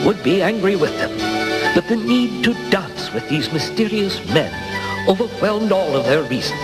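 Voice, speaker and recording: A man narrating a scripted fairy tale as a dramatic storyteller. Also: on the high side. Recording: loud music behind.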